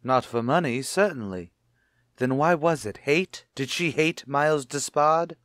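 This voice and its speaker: An actor playing a shrewd, probing police captain with a sardonic manner in a radio mystery. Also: male voice, a little high, measured pace, fairly bright tone, no room sound, studio quality. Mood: pressing. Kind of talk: retro radio mystery acting.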